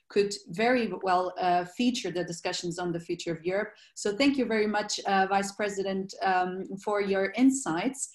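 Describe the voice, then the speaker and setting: Woman, event moderator, polite with filler sounds, roomy video-call sound. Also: mid-range voice. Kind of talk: formal online event moderation.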